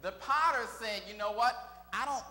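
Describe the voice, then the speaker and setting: Bright-voiced preacher in an echoey church. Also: male voice, extremely high pitch, normal speed. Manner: sings and chants phrases, quizzes the reader. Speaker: minister. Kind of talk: call-and-response sermon. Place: small church sanctuary. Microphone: pulpit mic. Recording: echoey church room, long reverb, faint organ.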